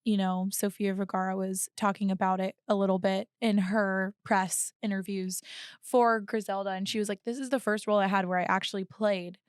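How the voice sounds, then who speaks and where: A woman's voice: mid-range voice, little pitch movement, fairly quick, smooth, no echo, clean studio sound. A young woman co-hosting a podcast, speaking reflectively in a casual chat and often saying "like". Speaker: podcast co-host.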